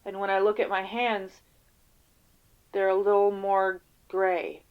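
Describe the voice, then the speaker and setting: A woman playing a weary, defensive field agent in an audio drama interview, speaking haltingly and casually. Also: medium pitch, level tone, clean tone, narrow, tinny audio, close to an everyday voice. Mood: resigned, haunted.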